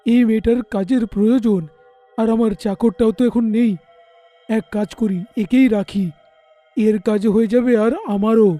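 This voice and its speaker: A man's voice playing a brisk, calculating juice stall owner in a moral story, speaking in a matter-of-fact way. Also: sits high, fairly even intonation, mellow, faint music behind, lightly acted.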